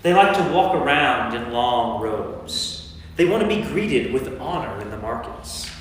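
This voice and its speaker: A man's voice: mid-range voice, sing-song, unhurried, fairly bright tone. Commanding teacher in an echoing church, acted by a man. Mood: scornful warning. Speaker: lay performer in a church staged reading. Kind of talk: staged scripture reading. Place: large reverberant church. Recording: echoey church, distant mic, faint noise.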